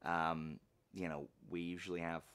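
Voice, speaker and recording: A man's voice: low-pitched, little pitch movement, moderate pace, fairly bright tone, clear, resonant tone. A man hosting a book channel, chatting casually in a folksy, jokey way and often interrupting himself. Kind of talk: casual vlog chat.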